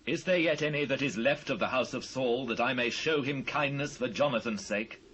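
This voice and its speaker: An actor with a British accent playing a devout, gracious ancient king in a solemn, measured dramatised scripture reading. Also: medium pitch, a bit bright, slightly rough. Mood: gracious, commanding. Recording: noisy old cassette transfer.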